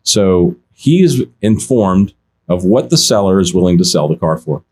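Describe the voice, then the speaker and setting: A man who works in car auctions, telling stories in a relaxed podcast chat, often restarting his sentences and quoting other people. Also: sits low, moderate pace. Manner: animated anecdotes, many restarts, quotes others. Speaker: auction insider guest. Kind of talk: casual podcast storytelling.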